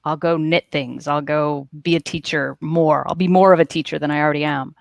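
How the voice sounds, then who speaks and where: A middle-aged woman gives casual interview answers as a guest author. Her voice is fairly low with noticeable fry and a dry, close sound. She is wry and self-deprecating, often repeating a word for emphasis. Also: fairly even intonation, brisk, dry recording. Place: dim indoor room at home, video call.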